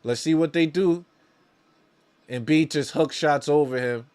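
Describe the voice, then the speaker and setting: A man's voice: a little high, unhurried, a little mellow, clean tone. A man hosting a watch-along, calling basketball live as a partisan fan in slangy talk, often repeating phrases for emphasis.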